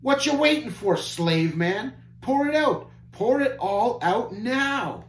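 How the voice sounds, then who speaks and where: A man voicing a gruff, greedy boy who shouts at servants and taunts his brother. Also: very high voice, big pitch swings, slow, pitched above the performer's own voice, full character voice. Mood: angry demanding. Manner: shouting, snapping, jeering. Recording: echoey room, faint hum.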